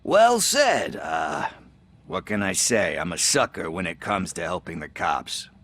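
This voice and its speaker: A wry, streetwise informant for a video game. His voice sits very low. He talks casually and glibly, hesitating as he goes, slippery yet likeable. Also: sing-song, a bit bright, exaggerated voice. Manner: glib, hesitant, casual drawn-out phrasing. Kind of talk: video game cutscene acting.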